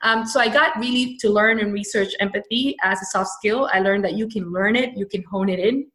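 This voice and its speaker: A woman's voice: medium pitch, fairly quick, a bit bright. A woman from Venezuela, a brand storyteller, giving an upbeat podcast interview with many fillers and restarts. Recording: echoey room.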